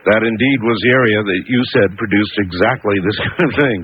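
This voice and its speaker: A man hosting a live call-in radio show, talking in a deliberate, unscripted way as he thinks aloud. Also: fairly low pitch. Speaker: radio talk show host. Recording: tinny narrow-band old radio broadcast, noisy.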